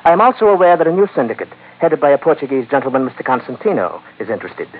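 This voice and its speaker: Suave, scheming Chinese merchant villain played by a male actor, elaborately polite with quiet menace; vintage radio drama, narrow noisy sound. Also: mid-range voice, lively intonation, natural voice. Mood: smooth, businesslike. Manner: formal, elaborately polite, unhurried. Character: suave, sly Chinese merchant crime boss, courteous menace. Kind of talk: old-time radio drama acting.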